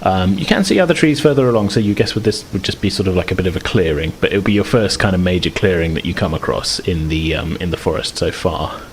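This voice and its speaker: A man's voice: low voice, expressive, quick pace, hardly any pauses, a little hiss or hum. A man casually narrating a tabletop roleplaying game as game master, in a conversational, hedging way.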